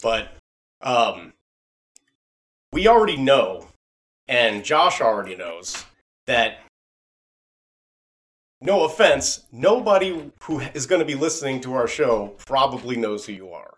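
A man's voice: medium pitch, sing-song, slow, long pauses, fairly bright tone. A man hosting a podcast in a casual, rambling and enthusiastic chatty style. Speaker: podcast host. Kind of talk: casual chat.

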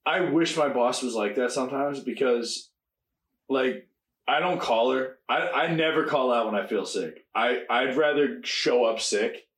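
A young man co-hosting a podcast, telling stories in casual chat, quick and animated and swearing freely. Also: medium pitch. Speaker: podcast co-host. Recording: echoey room.

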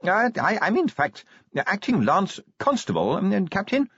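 A man's voice: high-pitched, wildly swooping pitch, a little slow, fairly bright tone, breathy edge, no echo, muffled recording, pitched above the performer's own voice, full character voice. A man voicing a small, prim inspector in a fantasy audiobook, hesitant and formal, polite yet firm. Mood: politely firm.

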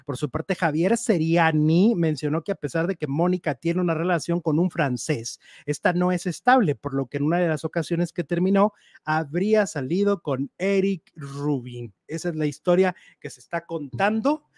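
A man co-hosting a casual gossip show, animated and chatty as he reads out and comments on news items. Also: a little high, moderate pace, no room sound, studio quality.

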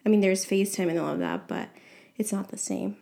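Earnest woman guest from New York, with a dark, gravelly voice. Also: medium pitch, steady tone, brisk. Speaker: podcast guest. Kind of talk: casual podcast chat.

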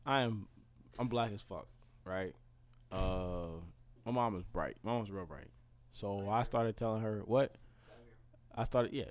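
A man's voice: lowish voice, unhurried, pauses often. Joking young man telling stories. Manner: animated, joking. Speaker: podcast co-host. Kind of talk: casual storytelling banter.

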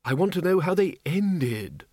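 A man voicing an elderly dead wizard who speaks softly and hesitantly, musing aloud. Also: high-pitched, big pitch swings, unhurried, dry recording, clean studio sound, pitched above the performer's own voice, exaggerated voice. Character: elderly dead male wizard, mild and thoughtful.

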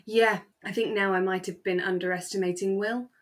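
A woman co-hosting a podcast, chatting casually in a reflective, gently hedging and agreeable way. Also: medium pitch, fairly even intonation, a little fast. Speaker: podcast co-host. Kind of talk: relaxed casual chat about a radio drama.

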